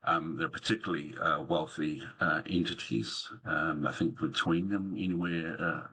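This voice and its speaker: Very deep, husky New Zealand man. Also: fairly even intonation, bright, forceful tone, poor audio. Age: middle-aged. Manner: hesitant, pausing often. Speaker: academic interview guest. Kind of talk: thoughtful interview answers.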